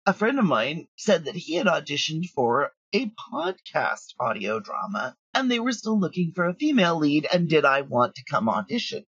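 A woman's voice: low-pitched, big pitch swings, normal speed, few pauses, fairly bright tone, breathy edge, no room sound, lo-fi sound. A woman talking casually as an interview guest, recorded remotely from home. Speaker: audio drama writer and producer, interview guest.

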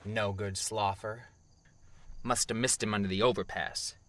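A man's voice: on the low side, fairly even intonation, unhurried, very noisy, close to an everyday voice. An actor playing an eager, deferential henchman in an audio drama.

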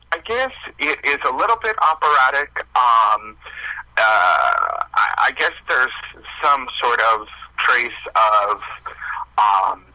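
A man's voice: medium pitch, sharp tone, no echo, low-quality recording. A man speaking as a musician guest in a casual interview, hesitant and self-deprecating as he explains himself.

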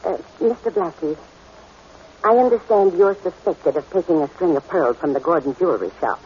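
A woman's voice: fairly low pitch, lively intonation, normal speed, darkish tone, close to an everyday voice. Sweet, genteel elderly woman clerk, acted by a woman for a vintage radio play; dull, slightly noisy sound. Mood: polite, anxious. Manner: polite, genteel. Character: sweet, polite gray-haired old lady shop clerk. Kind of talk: 1940s radio acting.

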